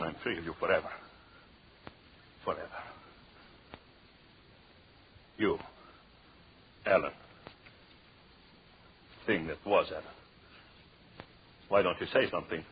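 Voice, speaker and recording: An actor playing a guilt-crazed murderer, theatrical and feverish, muttering and repeating himself in 1940s radio horror style. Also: male voice, sits low, moderate pace, pauses often, close to an everyday voice. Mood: haunted fury. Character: guilt-crazed murderer raving at his tiny dead victims. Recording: muffled noisy old radio drama recording.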